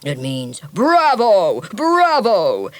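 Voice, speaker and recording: A woman voicing a jolly, boastful, stout sea captain father in a storybook reading, with a hearty, booming manner. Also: wildly swooping pitch, drawn-out words, no room sound, heavily acted.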